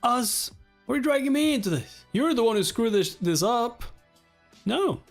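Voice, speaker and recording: Man acting a high, defensive, scheming office manager. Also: lively intonation, normal speed, soft background music. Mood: indignant. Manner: indignant, accusatory.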